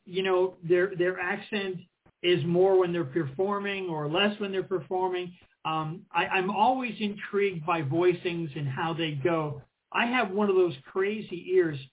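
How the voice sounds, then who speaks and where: A man's voice: high-pitched, steady tone, unhurried, slightly rough. A middle-aged man co-hosting an interview, asking friendly questions with frequent ums and restarts. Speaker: co-host. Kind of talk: casual interview conversation. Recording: tinny phone-line audio.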